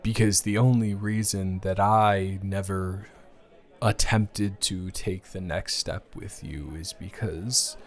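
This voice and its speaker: A man playing a smitten young man in an improvised romantic audio roleplay, speaking to his casual lover in a hesitant, earnest, halting way. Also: sits low, steady tone. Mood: flustered, surprised.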